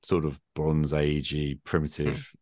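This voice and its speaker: A middle-aged man with a very low voice talks casually and at length. He thinks aloud, feeling his way through each point in a reflective, hesitant manner. The sound is dry, with little room on it. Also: level tone, unhurried, darkish tone, no echo. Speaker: podcast host. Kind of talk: extended casual conversation about role-playing games.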